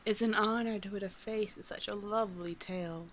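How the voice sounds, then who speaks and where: A woman voicing a calm, teasing sea witch with soothing, formal endearments in a scripted ASMR roleplay. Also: mid-range voice, brisk, slight background noise, lightly acted, rough recording. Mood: gentle, teasing. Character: calm, courtly, teasing sea witch, gentle yet slightly monstrous.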